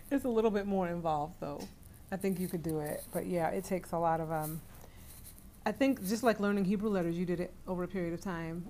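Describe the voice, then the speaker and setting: A woman chats casually on a livestream at home, reading out viewer comments and answering them. Her voice sits a little low, and she laughs easily and breaks off to speak to family nearby. A faint hiss of noise runs under the recording. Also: fairly quick, long pauses. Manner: chatty and warm, laughs often, talks aside to family. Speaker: livestream host.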